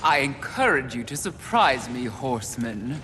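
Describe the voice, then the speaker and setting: An actor playing a haughty, contemptuous embodiment of sin in a video game, pompous, sneering and theatrical. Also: male voice, mid-range voice, very animated, a little slow, sharp tone, in a large hall, faint music behind. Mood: disdainful. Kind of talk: dramatic video game acting.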